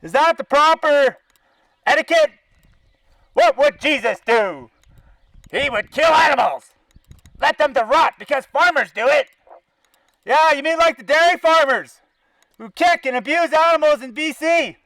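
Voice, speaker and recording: Very high-pitched, indignant Canadian man on bullhorn. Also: lively intonation, unhurried, pauses often, bright, forceful tone, no room sound, poor audio. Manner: indignant, sarcastic, profane, confrontational. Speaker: animal-welfare activist. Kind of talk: angry protest rant through a bullhorn. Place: outdoors by a zoo fence.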